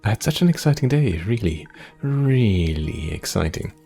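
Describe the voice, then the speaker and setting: Man voicing an excitable, anxious young would-be bard. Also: fairly low pitch, moderate pace, gravelly, soft background music. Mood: eager. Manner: effusive, rambling, self-deprecating.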